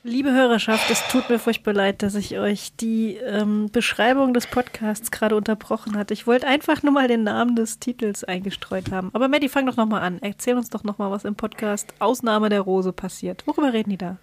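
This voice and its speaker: A woman's voice: medium pitch, fairly quick, hardly any pauses. A woman co-hosting a podcast, chatting casually. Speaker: podcast co-host.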